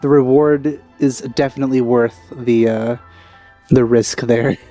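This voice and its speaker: A man's voice: mid-range voice, fairly even intonation, unhurried, warm tone. A man giving casual let's-play commentary in wry, musing asides. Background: game music.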